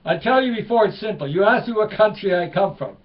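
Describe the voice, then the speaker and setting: A man's voice: high voice, animated, a little fast, recorded from a distance, pitched above the performer's own voice. A man playing a touchy Quebecer tourist, choppy English, lo-fi sound. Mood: exasperated. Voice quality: broken English. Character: excitable Quebecer man, touchy about country.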